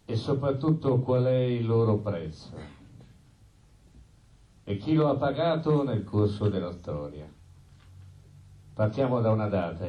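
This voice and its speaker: The recording is echoey and distant from the microphone. A man with a deep voice delivers a theatre monologue as narrator. His storytelling is solemn and deliberate. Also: highs cut off.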